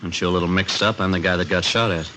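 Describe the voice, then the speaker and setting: A low, forceful male voice plays a cocky racketeer in vintage radio drama. He talks quickly, tossing back sarcastic, evasive retorts and never giving ground. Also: fairly even intonation. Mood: indignant, sarcastic. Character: cocky, defiant small-time racketeer, an adult man. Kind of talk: 1950s radio crime drama acting. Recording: noisy old radio drama recording.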